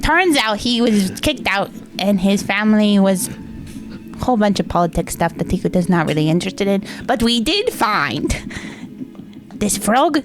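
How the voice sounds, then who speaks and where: A woman voicing a small, childlike, excitable storyteller in a tabletop game, rambling bluntly and getting flustered, with music playing behind. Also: mid-range voice, moderate pace, close to an everyday voice. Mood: excited.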